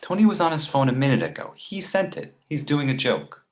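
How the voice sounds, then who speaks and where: A man's voice: a little high, sing-song, brisk. A man playing a cautious, exasperated book editor friend in a comedy table read.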